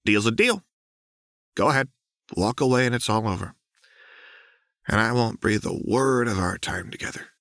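A man's voice: on the low side, moderate pace, pauses often, fairly bright tone, no room sound, studio quality, voice pushed up. A man voicing a manic, mischievous young woman prisoner who taunts with sly, breezy remarks. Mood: teasing.

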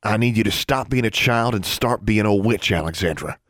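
A commanding teenage wizard boy, voiced by a man narrating an audiobook. He speaks tersely and keeps things low-key, brusque on the surface with care underneath. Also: expressive, moderate pace, no echo, studio quality. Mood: snapping, commanding. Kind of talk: audiobook character acting.

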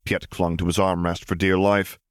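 A man with a low voice narrates a piece of fiction from a script. The recording is close and dry, with very little room sound. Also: flat delivery, moderate pace, clean tone, no room sound. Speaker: audiobook narrator of fan fiction.

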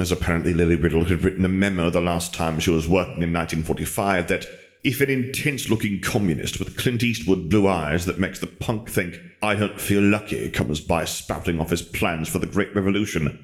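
A man voicing a dry, haughty dark wizard revolutionary in an audiobook story, speaking in a flat, wry, long-winded way. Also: low voice, fairly quick. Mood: resigned, wry.

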